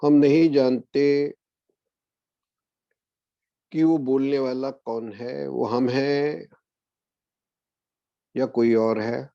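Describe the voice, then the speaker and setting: A man guiding a meditation as a spiritual discourse, speaking in a measured way with long pauses. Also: mid-range voice, steady tone, creaky, mellow, no echo. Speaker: meditation guide. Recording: dull, lo-fi, dry audio.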